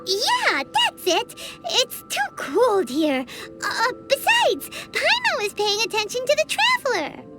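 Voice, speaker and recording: Tiny girlish game sprite, very high squeaky voice, bouncy lilt, slow drawn-out delivery. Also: huge pitch swings, loud music behind the voice, heavily acted. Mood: whiny. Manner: high squeaky childlike voice, refers to herself by name. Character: tiny floating female companion sprite, childlike and chatty. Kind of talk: game cutscene voice acting.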